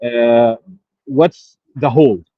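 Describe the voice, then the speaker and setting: A man from Syria calling in to a show, telling his case in a halting way, with many pauses and fillers. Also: medium pitch, dry recording, phone-quality sound. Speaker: caller. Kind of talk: phone-in question.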